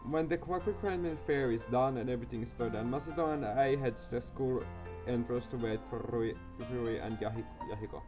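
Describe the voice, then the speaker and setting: A man's voice: mid-range voice, a little fast, music in the background. A man reading a visual novel aloud on camera, casual and tripping over words. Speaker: gaming youtuber. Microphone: desk mic, webcam.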